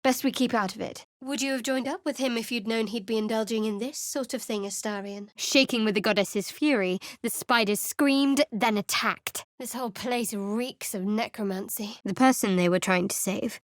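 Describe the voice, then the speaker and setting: A woman's voice: fairly high pitch, normal speed, hardly any pauses, dry recording, close to an everyday voice. A woman playing a guarded, sardonic young female cleric in a fantasy video game, speaking in a cool, dry, clipped way. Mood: solemn, narrating.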